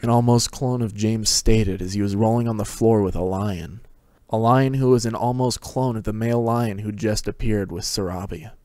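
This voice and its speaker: A man's voice: lowish voice, fairly even intonation, moderate pace, mellow, no room sound, studio quality. A man giving a scripted dramatic reading of a fantasy story as narrator. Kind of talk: dramatic reading of fan fiction.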